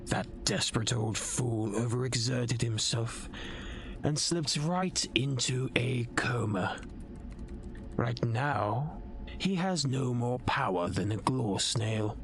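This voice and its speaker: A man playing a scheming, condescending nobleman in an audio drama, gravelly and whispery, with patronizing, theatrical delivery. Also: mid-range voice, expressive, slow, a little hiss or hum. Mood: smugly amused. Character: scheming, condescending nobleman, silky villain plotting a coup.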